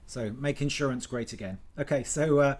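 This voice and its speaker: A man hosting a video interview, asking his questions in a quick, conversational way with some hesitations. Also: mid-range voice, darkish tone. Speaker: interview host.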